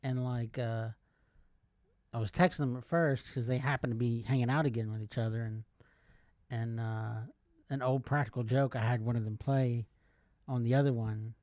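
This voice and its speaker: A man in his forties chatting casually as a podcast co-host, in a halting, conversational way. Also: medium pitch, steady tone, darkish tone, dry recording, studio quality. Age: 40s. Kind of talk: casual chat.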